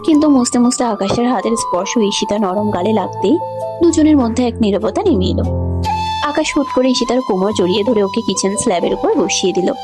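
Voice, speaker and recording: A woman's voice: medium pitch, animated, quick pace, over music. A woman reading scripted narration for a romantic story.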